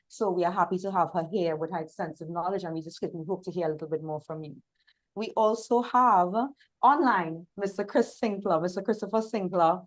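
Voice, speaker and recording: A woman's voice: fairly low pitch, low-quality recording. Trinidadian woman moderating a panel. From Trinidad and Tobago. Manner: warm formal introductions with fillers. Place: outdoor hot venue, hybrid session.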